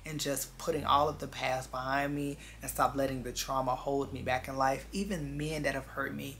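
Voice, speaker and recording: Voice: mid-range voice, little pitch movement, normal speed, fairly bright tone, a little hiss or hum. A vlogger in their twenties chatting casually and reflectively to viewers in an earnest, confiding way, repeating phrases for emphasis. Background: background music.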